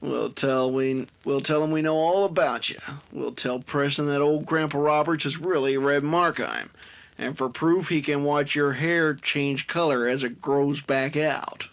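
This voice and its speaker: A man's voice: fairly high pitch, fairly even intonation, measured pace, gravelly. A man playing a scheming, anxious outlaw henchman with folksy Western crook talk in an old-time radio drama. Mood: threatening. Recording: muffled, noisy home-recorded track.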